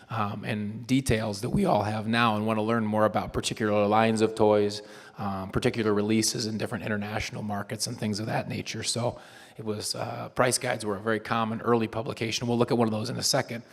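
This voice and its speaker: A hoarse man giving a chatty panel talk to a live audience, with frequent ums and restarts. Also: fairly low pitch. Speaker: convention panel presenter. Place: convention hall panel room. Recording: long hall reverb.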